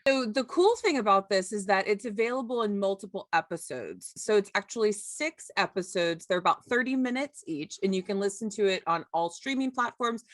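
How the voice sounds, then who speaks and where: A woman's voice: mid-range voice, expressive, a little fast, hardly any pauses, no echo. A woman hosting a podcast in a casual, conversational interview style. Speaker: podcast host.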